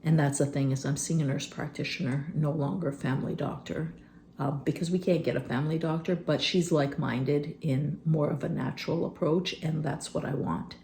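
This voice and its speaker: A woman in her fifties talking candidly and unscripted in a vlog, rambling with many fillers and a phlegmy throat she keeps clearing. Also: low-pitched, fairly even intonation, normal speed, dark tone, slight background noise. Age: 50s. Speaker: vlogger. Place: rural homestead.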